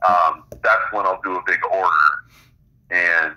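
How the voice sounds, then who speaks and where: Deep, very bright-voiced man on dull line. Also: fairly even intonation, a little slow. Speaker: interview guest, author. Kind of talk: conversational interview answers. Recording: dull, band-limited phone or radio line.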